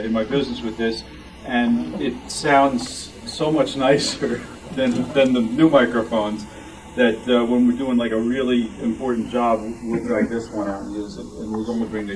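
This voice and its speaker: A man giving an informal show-and-tell talk as a club member, with a halting, rambling delivery. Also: mid-range voice, distant mic. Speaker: club member presenting vintage items. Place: club meeting room. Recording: noisy room, picked up from afar.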